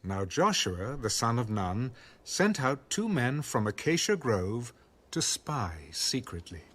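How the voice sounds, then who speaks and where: A man's voice: medium pitch, big pitch swings, takes it slowly, a bit bright, breathy edge. A man reading scripted narration for a dramatized audio Bible. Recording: music under the voice.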